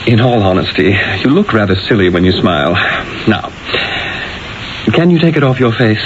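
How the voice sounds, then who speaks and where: An actor playing an urbane, exasperated Renaissance painter in a 1970s radio drama, with a theatrical, emphatic, probing manner. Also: male voice, lowish voice, very animated, moderate pace. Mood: baffled, exasperated. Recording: dull, hissy old radio broadcast.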